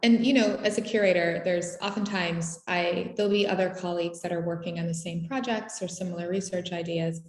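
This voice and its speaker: A woman's voice: fairly low pitch, fairly even intonation, a little fast, few pauses, echoey room. A woman curator interviewing an artist in a virtual conversation, supportive and reflective. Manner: supportive, reflective, asks open questions. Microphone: video call.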